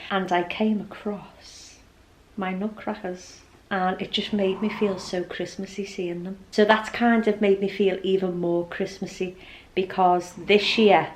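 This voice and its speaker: A woman's voice: medium pitch, a little hiss or hum, rough recording. A middle-aged woman chatting informally and ramblingly to camera as a lifestyle vlogger. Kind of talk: casual chatty vlog to camera. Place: at home.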